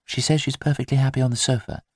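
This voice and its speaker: A man voicing a practical, anxious but gentle new mother in an audiobook, matter-of-fact and a little defensive. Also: medium pitch, little pitch movement, fast, no room sound, studio quality, pitched above the performer's own voice, close to an everyday voice. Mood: careful, defensive. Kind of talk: audiobook character dialogue.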